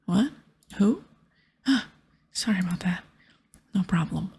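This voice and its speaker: A woman's voice: lowish voice, lively intonation, measured pace, creaky, a little mellow, slightly rough, full character voice. A woman voicing a wry female adventurer in game dialogue, whispering breathily. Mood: wry, puzzled. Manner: wry, questioning.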